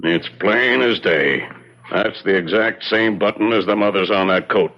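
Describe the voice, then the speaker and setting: An actor playing a plain-spoken, matter-of-fact town constable in a radio drama, with blunt, folksy speech. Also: male voice, lowish voice, unhurried. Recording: dull, lo-fi radio drama recording.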